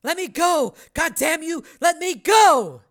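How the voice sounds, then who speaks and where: Guy putting on a tough frantic woman in a sci-fi story, sharp high voice, drawn out defiant yelling. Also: very animated, extremely slow, heavily acted. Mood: furious struggling. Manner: urgent, insistent shouting. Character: fierce, swearing woman fighter, frantic and defiant.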